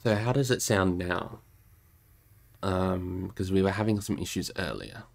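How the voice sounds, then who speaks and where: Creaky, low-voiced man hosting a reading stream. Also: steady tone, a little slow. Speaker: livestream host reading a book aloud. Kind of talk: casual livestream chat and expressive storybook narration.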